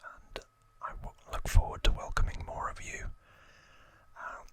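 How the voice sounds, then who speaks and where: A man hosting an ASMR video, narrating in a breathy, unvoiced whisper with a gentle, hushed delivery. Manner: slow, gentle, hushed delivery with drawn-out words. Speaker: host of an ASMR channel.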